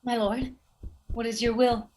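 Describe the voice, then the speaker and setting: Woman playing innocent wronged wife in shakespeare audio play, high voice, slow, earnest n sad. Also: little pitch movement, darkish tone, poor audio. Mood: meek, uneasy. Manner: earnest, formal, sorrowful. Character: loyal, innocent young wife, wounded and pleading. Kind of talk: Shakespearean radio play acting.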